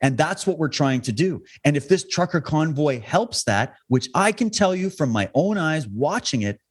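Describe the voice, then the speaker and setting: A man's voice: animated, moderate pace, husky. A man from Alberta, talking as a guest on a podcast interview in a fervent, impassioned way. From Canada (Alberta). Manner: fervent, impassioned, rising in pitch when stirred.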